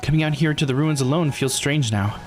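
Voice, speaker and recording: A man voicing a pensive, uneasy young woman, the protagonist of a visual novel, in a measured inner monologue. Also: fairly high pitch, voice pushed up, lightly acted. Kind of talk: reading visual novel dialogue aloud in character. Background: game music.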